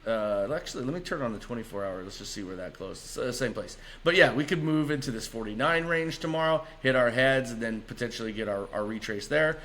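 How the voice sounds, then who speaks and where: A man's voice: mid-range voice, lively intonation, a little fast, hardly any pauses, fairly bright tone. A man presenting informal market chart commentary as a channel host, upbeat and emphatic, repeating key words for stress. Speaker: host of a stock trading analysis channel.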